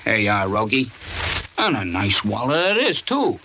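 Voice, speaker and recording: Eager slow-witted tough sidekick, sharp and swooping delivery, stammers, vintage radio drama with faint hiss. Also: male voice, lowish voice, exaggerated voice. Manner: stammering, excitable, slangy. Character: eager, dim male tough-guy sidekick. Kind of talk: 1940s radio comic henchman acting. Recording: muffled, faintly noisy old radio recording.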